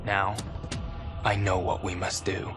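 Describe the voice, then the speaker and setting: An actor playing a resolute, earnest warrior leader in a video game monologue, grave and determined. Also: male voice, low-pitched, completely flat delivery, takes it slowly. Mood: grave resolve. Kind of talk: scripted game cutscene monologue. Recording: loud music under the voice.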